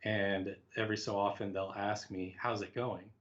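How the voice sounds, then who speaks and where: Dull, lo-fi call audio carries a man with a fairly low voice. He talks as a podcast guest in a casual, reflective way, putting himself down a little and voicing imagined remarks as he explains. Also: level tone, normal speed, a bit bright. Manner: reflective, self-deprecating, talks in quoted asides. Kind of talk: casual conversational interview.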